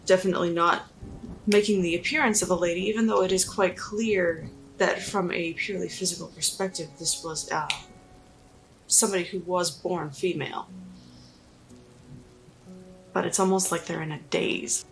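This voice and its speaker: A woman's voice: lowish voice, fairly even intonation, moderate pace, vocal fry, pauses often, faint music behind, rough recording. A woman improvising character description as a player in a tabletop game, thoughtfully piecing her phrases together. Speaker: player in a role-playing podcast.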